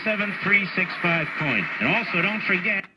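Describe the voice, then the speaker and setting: A man's voice: high voice, expressive, moderate pace. A man reading an advertisement, heard through a shortwave radio. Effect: shortwave radio reception. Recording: very noisy shortwave radio broadcast.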